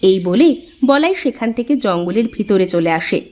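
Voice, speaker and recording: A woman's voice: mid-range voice, lively intonation, normal speed, mellow. A woman reading scripted narration for a cartoon story.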